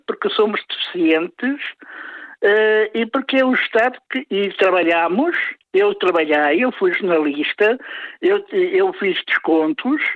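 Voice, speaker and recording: An older man from Lisbon with a Lisbon Portuguese accent, calling into a radio phone-in and speaking earnestly with halting pauses. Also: sits high, dry recording. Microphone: telephone. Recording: dry tinny phone line.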